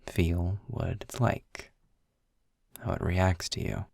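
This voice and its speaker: A man playing a sarcastic, guarded demon guide in an intimate audio roleplay, speaking in dry, measured phrases with a breathy, gravelly edge, close on the microphone. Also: darkish tone, dry recording, full character voice. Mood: patient, explaining. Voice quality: husky, breathy, gravelly low voice. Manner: dry, measured, halting with pauses. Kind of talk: intimate scripted audio roleplay, slow and deliberate. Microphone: close studio-style microphone, dry.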